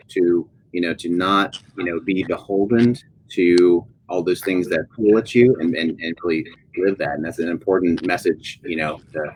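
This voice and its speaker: A man with a low-pitched voice hosts a casual interview over some light background noise. His delivery is halting, with repeated words as he feels his way through each thought. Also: poor audio. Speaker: interview moderator. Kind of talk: casual live-stream interview.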